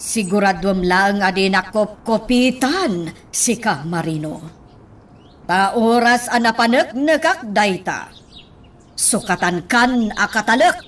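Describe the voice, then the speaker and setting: A woman's voice: mid-range voice, animated, slow, a bit bright. A woman playing a stern, suspicious lady boss who scolds and threatens in an Ilocano radio drama. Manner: commanding, threatening.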